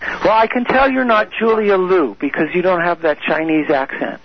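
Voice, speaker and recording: A man's voice: high voice, lively intonation, normal speed, a little hiss or hum. A man speaking as a guest on a radio call-in show, coaching listeners in an emphatic, repetitive way. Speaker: guest expert on the brain. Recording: dull lo-fi radio audio.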